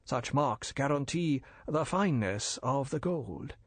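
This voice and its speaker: A man acts out an older Chinese merchant in an audiobook story. He is bland, suave and endlessly courteous, choosing his formal words slowly and deliberately. The sound is dry and intimate. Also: medium pitch, lively intonation, no echo. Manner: measured, deliberate, formal, politely evasive.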